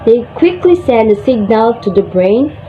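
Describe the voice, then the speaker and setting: Woman playing a slow, self-important nervous system. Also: fairly high pitch, sing-song, slight background noise. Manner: boastful, deliberate. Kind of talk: amateur reader's theater, scripted recitation. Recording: dull lo-fi sound. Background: music.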